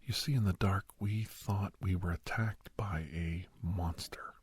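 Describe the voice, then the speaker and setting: A man playing a grave doctor in an ASMR roleplay, telling a haunting memory in a hushed, halting, breathy voice that often drops to a whisper. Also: low voice, steady tone, no room sound, full character voice. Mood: grave, intent. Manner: halting, hushed, dramatic delivery. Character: grave male doctor recounting a frightening memory to youngster.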